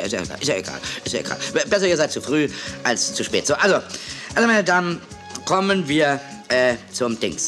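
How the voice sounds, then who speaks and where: A man's voice: mid-range voice, natural voice. A man playing a scatterbrained, fussy television producer in a children's audio drama, stammering and repeating himself. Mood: flustered, fussy. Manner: stammering, repeating himself, losing his words.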